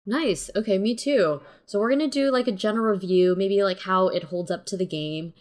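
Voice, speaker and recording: A woman's voice: medium pitch, fairly quick, darkish tone. A woman co-hosting a casual film review chat, giving her opinions in a tentative way. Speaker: review show co-host.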